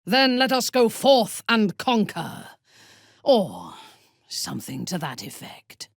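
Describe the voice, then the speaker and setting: A woman playing a ruthless, imperious female warrior commander in a fantasy video game, measured and haughty, with a husky, breathy voice and coldly seductive menace. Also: medium pitch, huge pitch swings, dry recording, studio quality, heavily acted. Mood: proud, resolute.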